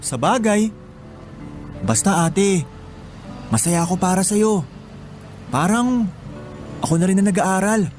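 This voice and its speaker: A man's voice: sits high, animated, slow, long pauses, a little mellow, faint music behind. A Filipino man playing a caring, playful younger brother in a radio drama, affectionate and teasing.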